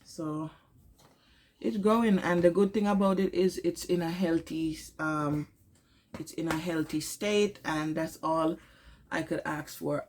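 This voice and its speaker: Stuffy, tired low-voiced woman. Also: noisy background. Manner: low-energy, tired, halting with many fillers. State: congested with a cold. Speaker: vlogger. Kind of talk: casual vlog update. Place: at home.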